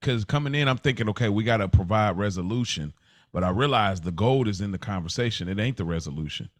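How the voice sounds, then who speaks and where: Male podcast co-host, slightly low voice, informal and slangy, thinking out loud, dry room sound. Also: animated, fast, no echo. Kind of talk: casual conversational podcast talk.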